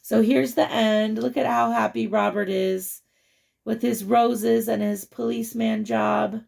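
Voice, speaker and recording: A woman reading a picture book aloud to children, with chatty, expressive asides and questions to the young listener. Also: a little high, a little slow, creaky.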